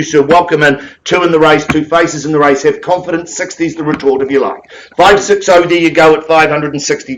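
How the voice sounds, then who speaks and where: A man with a New Zealand accent and a big booming voice, calling bids in rapid rhythmic patter with quips as a live property auctioneer in a room of bidders. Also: fairly bright tone, rough recording.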